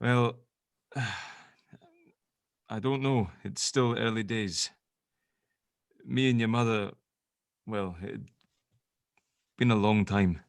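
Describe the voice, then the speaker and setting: A man playing a protective middle-aged dad in an audio drama table read, chatty, hesitant and gently awkward. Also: lowish voice, steady tone, takes it slowly, creaky, pauses often, no echo. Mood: rambling, wistful.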